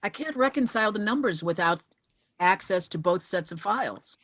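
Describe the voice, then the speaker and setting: A woman's voice: medium pitch, expressive, normal speed, fairly bright tone, no room sound. An actress reading the part of a determined widow in her fifties at a screenplay table read. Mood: earnest, probing.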